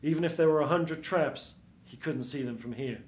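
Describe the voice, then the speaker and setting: A man's voice: fairly high pitch, moderate pace. A man in his thirties reading a scripted noir radio-play narration as a storyteller. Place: small theatre stage before seated audience. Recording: roomy live theatre stage.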